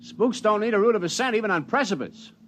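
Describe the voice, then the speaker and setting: An American actor playing a brash, wisecracking mountain local in a vintage radio drama, emphatic and folksy. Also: male voice, sits high, expressive, fast, a bit bright, a little hiss or hum. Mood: wry, assertive. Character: brash, wisecracking male mountain local who loves his country. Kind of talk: old-time radio drama acting.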